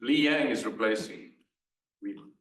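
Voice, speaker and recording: A man in the audience speaking loudly from the floor of a lecture hall, wry and chuckling. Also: mid-range voice, unhurried. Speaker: audience member asking. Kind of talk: question from the audience. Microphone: far from the mic. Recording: roomy hall from far off.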